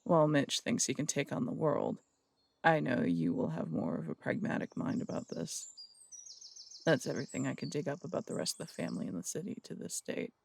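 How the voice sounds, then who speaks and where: A man playing a gravelly stern cop. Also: a little high, sing-song, brisk, no echo, exaggerated voice. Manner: blunt, warning, threatening. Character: stern adult male cop who runs the morgue. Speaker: detective. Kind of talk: audio roleplay drama.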